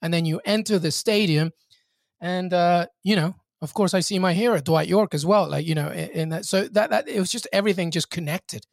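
A man's voice: high-pitched, a little fast, gravelly, no room sound. Reflective Peruvian-born man, dry sound. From Peru. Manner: reflective, lots of fillers and restarts. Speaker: podcast guest. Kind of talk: casual podcast chat.

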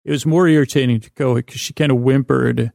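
A middle-aged man hosting a sleep podcast, rambling hesitantly and often trailing off mid-thought in a gravelly voice. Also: medium pitch, fairly even intonation, brisk, darkish tone, no echo, studio quality. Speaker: podcast host.

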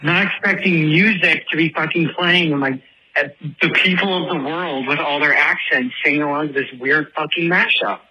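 A man's voice: on the high side, normal speed, background noise. A man leaving a casual voice message for a show, telling a story in a chatty, dramatic, self-deprecating, motormouth way on a phone-like recording. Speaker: listener calling in with a voice message.

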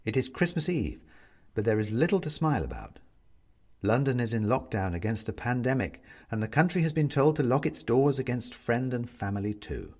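A man narrating a literary story as an audiobook reader, measured and wry, with theatrical, deliberate phrasing. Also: medium pitch, sing-song, a little mellow.

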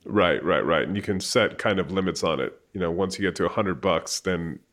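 A low-pitched man in his forties hosts a conversation. His questions wander casually, full of fillers and restarts as he talks the ideas through. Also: fairly quick, fairly bright tone. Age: 40s. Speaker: podcast host. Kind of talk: conversational interview.

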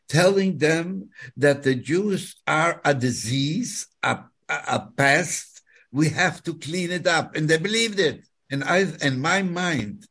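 A man in his nineties from Poland, with a Polish accent, giving personal testimony in a halting way, often repeating words and phrases. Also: mid-range voice, a bit bright, slightly rough. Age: 90s. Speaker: guest, Holocaust survivor telling his story.

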